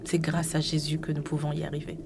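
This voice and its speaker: Low-voiced woman interpreting a sermon. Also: quick pace, creaky, background noise. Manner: steady, follows the speaker closely. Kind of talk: live French interpretation of a sermon.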